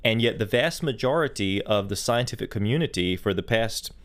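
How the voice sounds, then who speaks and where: A man's voice: fairly low pitch, lively intonation, fairly quick, a bit bright. A man hosting a studio talk show on faith, speaking in an emphatic, preacher-like way. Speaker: host.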